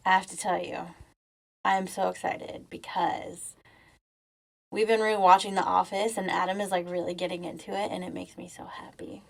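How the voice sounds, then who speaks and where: Gravelly-voiced woman podcast co-host. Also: lowish voice, level tone, normal speed, rough recording. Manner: chatty, teasing, laughs often. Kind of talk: casual chat.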